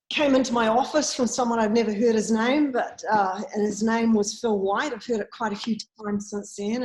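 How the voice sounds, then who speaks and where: A woman talks casually about her work as a swim coach, filling pauses with ums and uhs. Her voice sits at an ordinary middle pitch. The call sounds roomy, with a clear echo around her. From New Zealand. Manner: chatty, frequent ums and uhs. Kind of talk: casual interview answers. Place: at a swimming facility. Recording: echoey room sound.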